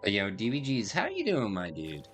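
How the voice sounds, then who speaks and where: A man's voice: on the low side, wildly swooping pitch, moderate pace. A man livestreaming a game, chatting casually in slang and reading game text aloud in a laid-back way. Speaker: game streamer. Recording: faint game music behind.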